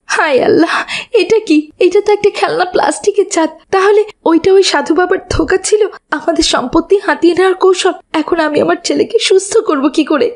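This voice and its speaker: A woman plays a distraught landlord's wife in a cartoon melodrama. Her voice is high, and she implores everyone with desperate devotion as a mother. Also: a little fast. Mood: shocked, distraught. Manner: pleading, imploring.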